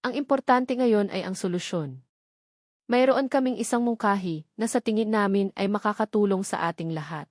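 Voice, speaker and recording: A woman voicing an authoritative male board chairman, formal and deliberate, with a Filipino accent, in an audio story. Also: mid-range voice, a little mellow, no room sound, clean studio sound, lightly acted. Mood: grave, firm.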